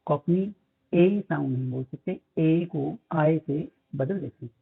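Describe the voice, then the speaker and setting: A man with a Pakistani accent, teaching phonetics in a lecture. He mixes English terms into his explanations, uses many fillers and reads English lines slowly. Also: a little high, creaky, husky. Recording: tinny phone-like audio.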